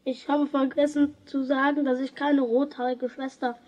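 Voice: high-pitched, level tone, moderate pace, lo-fi sound, close to an everyday voice. A performer playing a stubborn, hurt schoolboy in a children's radio play, insistent and blunt.

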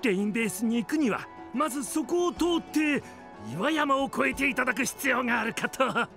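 A man playing a cowardly, fawningly polite comic crook in an animated game cutscene. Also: very high-pitched, moderate pace, gravelly, heavily acted. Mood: sheepish, fawning. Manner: obsequious, exaggeratedly polite. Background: music.

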